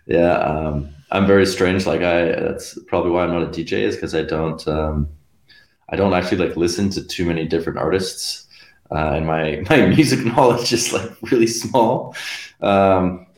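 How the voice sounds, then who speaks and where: Very deep-voiced young man, rambling. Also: normal speed. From Canada. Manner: rambling, filler-heavy, laughs between phrases. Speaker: guest musician. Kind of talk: casual interview chat. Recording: roomy echoey room.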